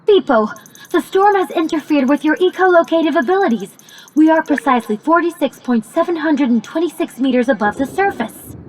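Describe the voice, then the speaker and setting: A woman's voice: high voice, slight background noise, poor audio. A woman playing a precise, matter-of-fact artificial intelligence companion in a video game cutscene, with pedantic, formal wording.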